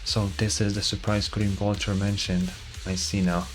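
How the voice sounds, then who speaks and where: A man voicing a shaken but stoic young female soldier in a quiet, restrained way while reading game dialogue. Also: on the low side, little pitch movement, moderate pace, over music, natural voice. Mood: shaken restraint.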